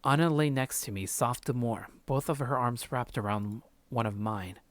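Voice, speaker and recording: Visual novel narrator: numb, heartbroken man read by a man, mid-pitched, brooding, subdued delivery. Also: moderate pace, close to an everyday voice.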